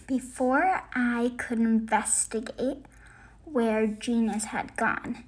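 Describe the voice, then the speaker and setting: A woman reading a picture book aloud in an expressive, halting way with emphatic phrasing. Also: a little high. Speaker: read-aloud host.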